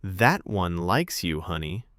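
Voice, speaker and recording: A man's voice: deep voice, very animated, slow, a bit bright, dry recording, studio quality, full character voice. A man voicing a nosy, blunt older woman with a familiar, forthright manner in an audio story. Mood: knowing.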